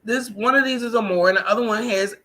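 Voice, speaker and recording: A woman's voice: a little high, fast, pushed and bright. An American woman talking casually in a personal vlog monologue, emphatic, colloquial and conversational. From United States. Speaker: vlogger.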